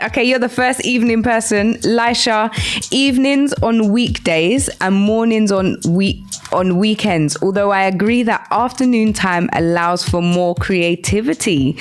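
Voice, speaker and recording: A woman hosting a live radio talk show, encouraging and explanatory, speaking directly to her listeners. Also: medium pitch. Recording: music playing behind the voice. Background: background music.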